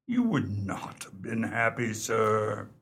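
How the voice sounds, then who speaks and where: A man's voice: fairly low pitch, very animated, takes it slowly, gravelly, fairly bright tone, slightly rough, full character voice. A man acting in a radio play as an unflappable, deferential English valet who speaks formally and with discreet opinions. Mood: frank, measured.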